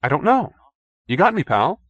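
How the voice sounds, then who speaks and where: A man's voice: fairly high pitch, sing-song, normal speed, slightly rough, no echo, highs cut off, voice pushed up. A man voicing a brash, cynical newspaperman who talks in slangy, indignant wisecracks in an audiobook story. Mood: befuddled.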